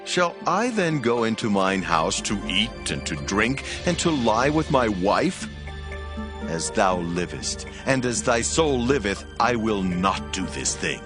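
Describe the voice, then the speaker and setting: An actor playing a loyal, principled soldier in a dramatized scripture reading, solemn, resolute and formal. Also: male voice, fairly low pitch, big pitch swings, slow pace. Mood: solemn resolve. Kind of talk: dramatized scripture audio acting. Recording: prominent music behind the voice.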